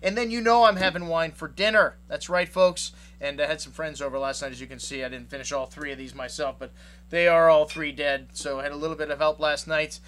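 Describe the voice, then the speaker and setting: A man's voice: a little high, steady tone, fairly quick, a bit bright. A man who runs a wine shop, talking casually through his tasting notes and rambling, with many fillers. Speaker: wine shop owner reviewing wines.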